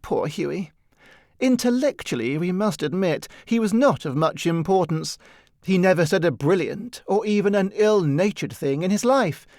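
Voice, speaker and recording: A man narrating a literary story from a script in an expressive, measured storytelling manner. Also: high voice, dry recording, clean studio sound. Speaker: audiobook narrator.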